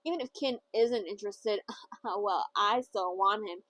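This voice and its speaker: A woman's voice: medium pitch, a little slow, a bit bright, dry recording, rough recording. A woman reading the lines of a cruel, scheming young man in a smug, sneering manner. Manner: smug, teasing.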